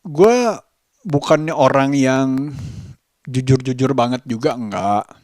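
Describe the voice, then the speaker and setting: A man with a Jakarta Indonesian accent telling a personal story as a vlogger, halting and hesitant, pausing between phrases. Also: mid-range voice, darkish tone, no echo, clean studio sound. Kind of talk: personal vlog storytelling to camera.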